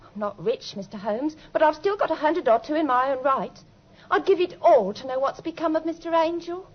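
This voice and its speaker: Anxious young English typist, deferential, colloquial, very lively intonation, muffled vintage radio sound. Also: female voice, high-pitched, brisk. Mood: anxious, amazed. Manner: colloquial, earnest, respectful. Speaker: actress in a radio drama. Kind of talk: classic radio drama acting. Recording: dull old radio recording.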